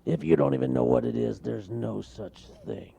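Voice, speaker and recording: A man's voice: medium pitch, moderate pace, creaky, breathy edge, pitched above the performer's own voice. A man doing a roaring, grumpy storybook bear. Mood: angry boastful. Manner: shouting, sneering, angry. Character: grumpy bossy male bear, loud and scornful.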